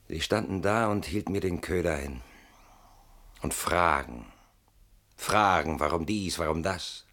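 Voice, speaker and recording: An actor in a German radio play voicing an evasive, self-justifying man with a guilty conscience, halting and brooding, protesting in sudden outbursts. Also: sits low, heavily acted.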